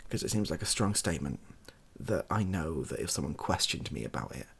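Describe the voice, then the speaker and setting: A young man speaking softly and breathily in a casual, rambling monologue, hesitant and self-deprecating as he gives his opinions. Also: sits low, lively intonation, fairly quick. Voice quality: soft, husky, breathy. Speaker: online video creator giving opinions.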